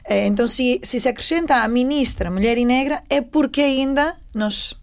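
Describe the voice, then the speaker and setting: A woman's voice: high-pitched, moderate pace. A woman taking part in a panel discussion, explaining her views earnestly and emphatically in unscripted speech.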